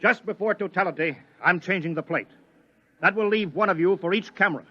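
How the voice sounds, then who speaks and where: A man's voice: high-pitched, fairly quick, dry recording. An actor playing a scientist who gives urgent orders to his team, in a 1950s radio drama. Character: commanding male scientist leading an eclipse observation. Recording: dull lo-fi vintage radio broadcast.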